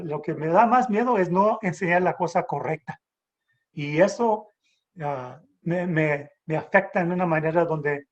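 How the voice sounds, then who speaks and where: A middle-aged man from the Texas border, raised in San Antonio, teaching the Bible informally over a video call, halting with restarts and rhetorical questions. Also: on the high side, slightly rough, muffled recording.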